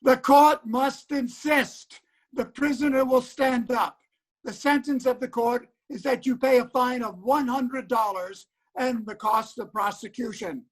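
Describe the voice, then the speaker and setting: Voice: medium pitch, measured pace, natural voice, low-quality recording. An actor playing a stern, impatient nineteenth-century judge, formal and insistent, in a reader's theater courtroom drama. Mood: exasperated, commanding.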